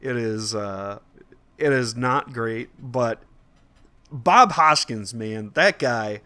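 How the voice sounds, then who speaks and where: A man's voice: mid-range voice, takes it slowly, pushed and bright. A man co-hosting a casual podcast, conversational and thinking aloud as he goes. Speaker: podcast co-host.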